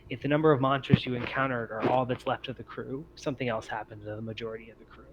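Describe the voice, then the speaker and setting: A man's voice: mid-range voice, steady tone, fast talker, lo-fi sound, background noise. A man working as game master, narrating a tabletop roleplaying game in an improvised, conversational and hesitant way.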